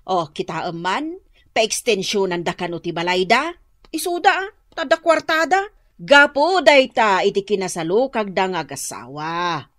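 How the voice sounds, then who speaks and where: A woman's voice: medium pitch, normal speed, dry recording, clean studio sound, close to an everyday voice. A woman playing a preachy, exasperated elderly mother in a radio drama, with an Ilocano accent and a reproachful manner. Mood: reproachful lecturing. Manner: expressive, reproachful.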